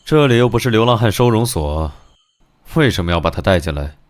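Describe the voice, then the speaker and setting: A man playing a predatory, teasing aristocrat with a haughty, mocking manner in an animated comic dub. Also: on the low side, fairly quick, close to an everyday voice. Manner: haughty, mocking, smooth-tongued. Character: arrogant, predatory, teasing young aristocrat duke.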